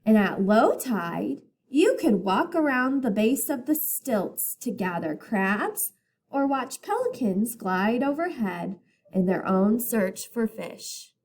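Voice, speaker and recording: Lady teacher reading a picture book to kids, highish voice, really up and down. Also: big pitch swings, takes it slowly, darkish tone, husky. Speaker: teacher reading aloud to children. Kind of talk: picture book read-aloud.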